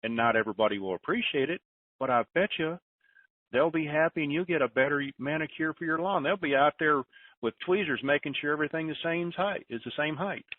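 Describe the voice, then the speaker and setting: A man's voice: a little high, brisk, dry recording. A man hosting a call-in conference, talking informally in a folksy way and handing out advice. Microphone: telephone conference line. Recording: muffled phone line, distant mic.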